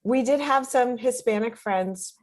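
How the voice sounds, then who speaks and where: An American woman in her thirties or forties, chatting informally as an interview guest on a video call, telling personal stories. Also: a little high. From Pittsburgh, United States. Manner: chatty, informal, quick to joke. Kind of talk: casual personal storytelling.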